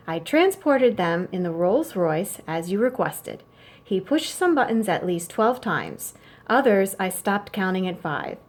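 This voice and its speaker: A woman reading as a formal male chauffeur in a children's storybook, deferential and dryly fussy as he reports to his madam. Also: medium pitch.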